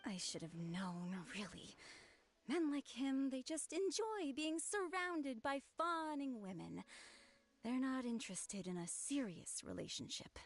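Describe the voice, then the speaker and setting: A woman's voice: high voice, lively intonation, unhurried, soft background music, exaggerated voice. A young woman playing a lovelorn, defensive researcher in a video game, huffy and dramatic. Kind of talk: anime-style video game voice acting.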